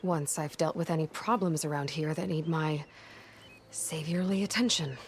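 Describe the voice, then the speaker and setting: A terse, skeptical young hunter. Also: female voice, sits low, steady tone, normal speed, a little hiss or hum. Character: blunt young female hunter hero. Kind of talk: video game dialogue.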